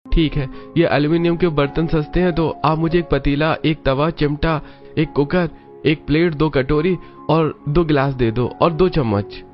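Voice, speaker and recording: A man's voice: fairly high pitch, steady tone, normal speed, close to an everyday voice. A man playing an earnest, supportive young working husband in a cartoon story. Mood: helpful, practical. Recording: dull lo-fi track with music.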